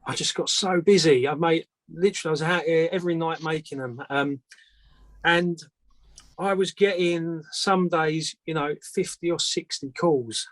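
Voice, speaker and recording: A man's voice: a little high, normal speed, fairly bright tone, no room sound, rough recording. A man who makes antennas, answering questions casually as a guest in a plain-spoken, hesitant way. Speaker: antenna maker, guest speaker.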